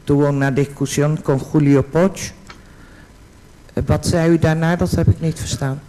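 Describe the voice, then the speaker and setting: A man works as a court interpreter with a warm, mellow voice. He translates a witness's testimony sentence by sentence, hesitating with fillers as he goes. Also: on the high side, fairly even intonation, measured pace, rough recording.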